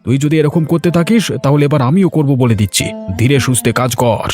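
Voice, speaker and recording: A man's voice: fairly high pitch, quick pace, close to an everyday voice. A man voicing an irritable, quarrelsome village farmer in a cartoon story, scolding and complaining. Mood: annoyed, scolding. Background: music.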